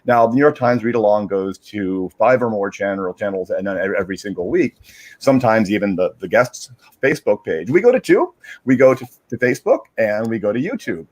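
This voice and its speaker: A man chatting casually on a livestream, explaining in a halting, rambling way and repeating words as he searches for phrasing. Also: lowish voice. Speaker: digital media strategist, guest reader.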